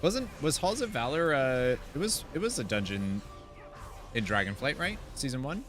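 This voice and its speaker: A man streaming a video game, giving informal, off-the-cuff commentary in a casual, animated way. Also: mid-range voice, moderate pace, soft background music. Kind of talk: casual livestream gameplay commentary.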